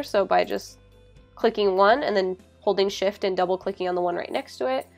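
Young woman doing a laid-back tutorial voiceover, says like a lot, medium pitch, music in the background. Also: creaky. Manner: informal, hedging with frequent "like". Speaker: tutorial presenter. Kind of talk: casual software tutorial narration.

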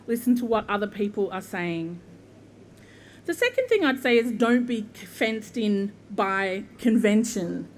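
A middle-aged Australian woman from Queensland, a constitutional lawyer, giving an earnest, measured commencement speech at a podium microphone outdoors. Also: medium pitch, a little hiss or hum. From southeast Queensland, Australia. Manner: earnest, measured, with frequent ums and gestures. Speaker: guest speaker, constitutional lawyer. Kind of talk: commencement address. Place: outdoor university courtyard.

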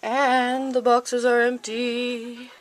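An unhurried young woman chats through a tour of her small farm and coos at her chickens and rabbits. She talks close to a handheld camera outdoors, with a clearly audible noise bed and hens clucking behind her. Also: fairly high pitch, level tone. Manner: chatty, talks baby-talk to her animals. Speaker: small farm owner showing her animals. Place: outdoor coop and rabbit hutches. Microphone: handheld camera held close. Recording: noisy outdoor camera audio. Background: clucking chickens and birds.

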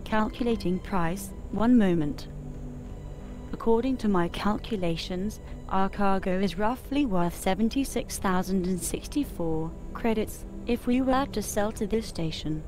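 A calm, obliging spaceship computer voiced by a woman speaks in a mellow tone. She gives formal, measured game prompts, with faint music behind her. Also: mid-range voice, close to an everyday voice, low-quality recording. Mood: calm, procedural. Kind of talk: scripted game voice assistant prompts.

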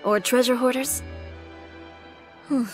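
A woman's voice: sits high, fairly even intonation, slow pace, faint music behind. A woman playing a cool, assertive young fashion designer in a video game, with a dry, composed delivery. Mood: probing. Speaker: voice actor. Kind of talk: video game dialogue acting.

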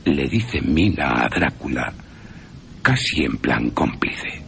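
A man with an accent from Spain narrating a horror story for radio in a theatrical, suspenseful way with long pauses. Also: a bass voice, sing-song, creaky, fairly bright tone, a little hiss or hum. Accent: Spanish from Spain. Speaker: radio drama narrator and presenter. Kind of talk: dramatic scripted horror narration.

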